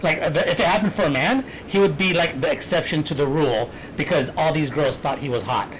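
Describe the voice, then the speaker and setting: A man's voice: on the high side, fairly quick, a bit bright, poor audio. A man hosting a casual chat, rambling with many restarts as he works through his thoughts. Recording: clear background noise.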